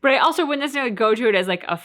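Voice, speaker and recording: A woman's voice: a little high, expressive, rapid-fire, a bit bright. A woman casually talking through her reactions to a game as a reaction show host.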